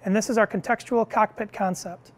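A middle-aged man leading product innovation, presenting a car cockpit demo in a measured, clear, scripted way in an indoor demo room. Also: high-pitched, steady tone. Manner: measured, clear explanatory delivery.

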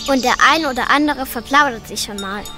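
A woman acting in a children's radio play in a lively, eager way, with music behind her. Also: sits high, fairly quick, close to an everyday voice. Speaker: amateur radio play actor.

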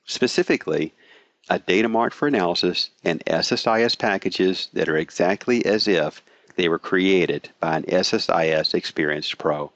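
A man with a very low voice narrates a scripted product pitch, steady and even from line to line. The recording is dry and close, with a slightly dull, muffled top end. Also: normal speed, no room sound. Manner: steady, even sales delivery. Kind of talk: scripted promotional voice-over.

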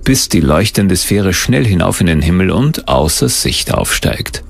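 A man's voice: low-pitched, very animated, unhurried, creaky, slightly rough. A man narrating a scripted documentary.

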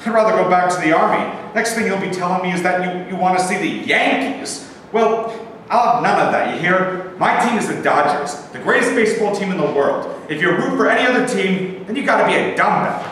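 A man's voice: high-pitched, expressive, fairly quick, fairly bright tone. A man in his twenties playing an earnest, hot-headed young soldier and baseball fan in a staged play reading. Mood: indignant. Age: 20s. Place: large bare indoor room. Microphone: far camera. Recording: echoey hall, distant camera microphone, faint noise.

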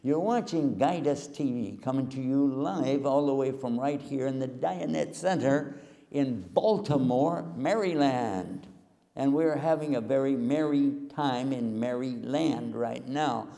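An older man gives a folksy, joking lecture to a live audience. His voice is gravelly and mellow. A long reverb hangs after his words in the large hall. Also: medium pitch, unhurried. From Texas. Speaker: Islamic preacher and TV host. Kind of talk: humorous public lecture. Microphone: handheld mic, far camera.

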